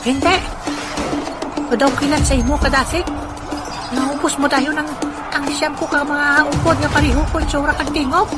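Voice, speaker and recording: A woman's voice: high-pitched, fairly even intonation, normal speed, long pauses, close to an everyday voice. A woman playing a defiant warrior in a comedic audio drama. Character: defiant warrior facing an enemy army. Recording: loud music drowning the voice.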